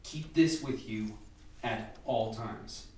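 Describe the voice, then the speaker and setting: A man acting in an amateur radio play as a calm, instructive scientist briefing a lab team with measured delivery. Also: lowish voice. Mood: grave, explanatory. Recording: echoey room, distant mic, faint music.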